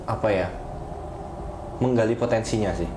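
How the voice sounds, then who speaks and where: A young man with an Indonesian accent chatting as a podcast guest, explaining in a measured way and pausing to find words. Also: lowish voice. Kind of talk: casual interview chat about studying abroad. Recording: roomy echo, clear background noise.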